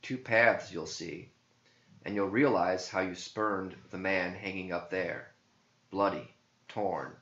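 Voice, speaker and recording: A man reading scripted verse as a narrator, in a solemn, deliberate and theatrical manner. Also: sits low, fairly bright tone. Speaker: author and narrator. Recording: muffled, echoey home recording.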